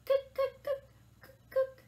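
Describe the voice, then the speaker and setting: A woman imitating lively storybook songbirds with exaggerated, repeated calls. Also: takes it slowly, lightly acted. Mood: bright, loud. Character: lively storybook songbirds calling in repeated chirps, coos and caws.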